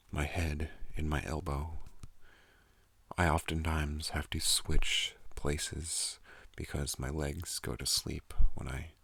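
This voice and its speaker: A young man hosting an ASMR channel, speaking softly and calmly close to a studio microphone, slipping into whispers. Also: dry recording. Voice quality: deep gravelly soft voice. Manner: slow, calm, partly whispered. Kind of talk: soft-spoken ASMR talk.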